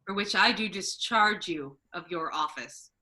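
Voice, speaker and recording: A woman playing a stern, authoritative male duke in a measured, formal Shakespearean stage reading. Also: fairly low pitch, bright, forceful tone, rough recording. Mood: cool, authoritative.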